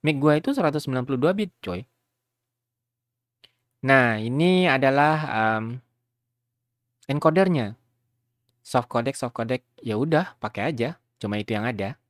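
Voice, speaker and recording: A man's voice: mid-range voice, animated, normal speed, pauses often, no room sound, studio quality. A man casually walks viewers through a tutorial, chatting informally and often checking in with them. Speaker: YouTuber giving a software tutorial. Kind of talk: casual screen tutorial with streamer slang.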